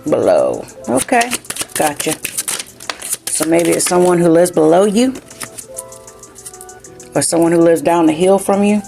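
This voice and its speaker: A woman with a slight Southern country twang gives a relaxed spiritual card reading, talking to her listeners like friends. Her voice sits low and mellow with a gravelly edge. Soft music plays quietly under her. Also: unhurried, long pauses. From New Jersey, raised in South Carolina. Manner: chatty, keeps saying "you know what I'm saying". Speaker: tarot card reader.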